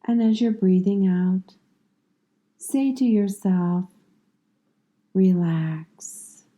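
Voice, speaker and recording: Very mellow, slow woman guiding meditation. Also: medium pitch. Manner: calm, soothing, measured with long pauses. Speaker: yoga nidra instructor. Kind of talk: guided meditation, scripted slow instructions.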